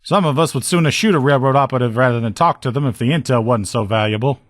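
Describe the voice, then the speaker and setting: A man's voice: mid-range voice, fast talker, no room sound, clean studio sound, natural voice. A man playing a brash sheriff's lieutenant and proud armor mechanic in a video game, blunt, sardonic and salty-tongued. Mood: matter-of-fact. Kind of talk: video game character dialogue.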